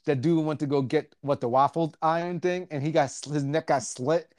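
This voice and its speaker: A man's voice: a little high, moderate pace, no echo, clean studio sound. A man co-hosting a podcast, talking in a casual, profane, slangy way and telling things bluntly and with animation. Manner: blunt, animated storytelling with slangy colloquial grammar. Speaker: podcast co-host reviewing a horror film.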